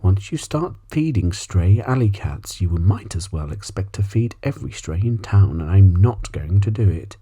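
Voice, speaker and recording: A man voicing a stern mother in a storybook, scolding firmly in a soft, breathy voice. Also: low voice, animated, moderate pace, hardly any pauses. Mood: stern, indignant. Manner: firm, scolding. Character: stern, cat-hating mother scolding her son. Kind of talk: ASMR storybook reading.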